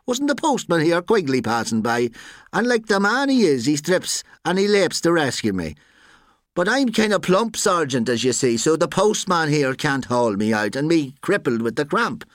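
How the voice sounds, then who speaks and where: A man's voice: on the high side, sing-song, moderate pace, no echo, studio quality, voice pushed up. A man with an Irish accent voicing a plump, wily poacher who talks in a voluble, emphatic, folksy way, in a story reading. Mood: earnest pleading. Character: plump, wily male poacher spinning tall tales.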